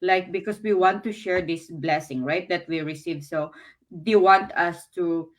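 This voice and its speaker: A woman from the Philippines, speaking English as a later language, sharing her business experience in a casual, earnest way with many fillers and pauses. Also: fairly low pitch. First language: Filipino language. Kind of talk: casual testimonial talk. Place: Canada.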